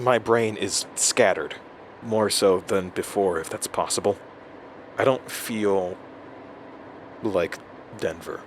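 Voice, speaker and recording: An actor in an audio drama playing a rattled, self-doubting man who records a halting, stammering journal, talking to himself. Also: on the low side. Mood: lost, scattered. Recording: steady noise, like inside a moving car.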